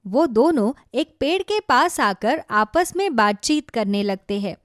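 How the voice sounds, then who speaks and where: A woman's voice: a little high, expressive, measured pace, fairly bright tone, no echo, studio quality. A woman giving scripted narration for a cartoon moral story.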